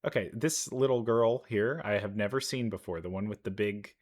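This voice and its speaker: A man's voice: fairly low pitch, moderate pace. A man giving casual, unscripted commentary as host of a reaction video. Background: faint music.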